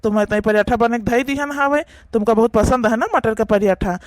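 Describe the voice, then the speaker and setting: A man's voice: extremely high pitch, a little fast, dry recording, clean studio sound. A man with an Awadhi accent voicing a kind, easygoing young brother in a cartoon, explaining things soothingly. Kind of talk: cartoon voice acting.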